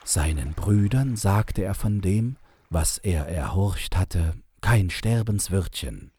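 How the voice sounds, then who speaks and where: A man with a husky voice narrating a fairy tale in a measured, unhurried way. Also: low-pitched, lively intonation, mellow. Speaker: storyteller, narrator.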